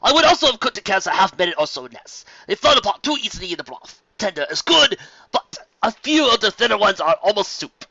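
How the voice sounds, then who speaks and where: A man's voice: high voice, sing-song, fast talker, vocal fry, pushed and bright, no room sound, voice pushed up, heavily acted. A man voicing a suave, gracious upper-class stallion with a courtly, effusive, formal manner, on a dull lo-fi recording. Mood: appraising, polite.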